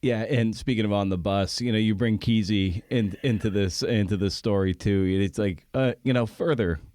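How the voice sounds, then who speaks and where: A man's voice: on the low side, normal speed, darkish tone, no echo, studio quality. A man hosting a podcast in a casual interview chat, with informal, rambling phrasing. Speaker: podcast host.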